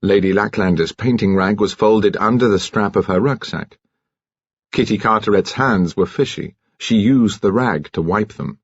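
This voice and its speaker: A man's voice: lowish voice, moderate pace, husky, dry recording, dull audio. A British man reading a detective novel aloud as scripted, dramatic audiobook narration.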